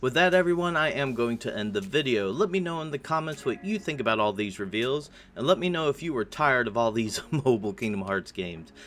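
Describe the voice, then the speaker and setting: A man with an American accent and a slightly raspy voice, narrating a gaming news recap as a channel host in a casual, informal way. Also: medium pitch, lively intonation, fairly quick, hardly any pauses, a bit bright. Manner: casual, informal address to viewers. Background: music behind the voice.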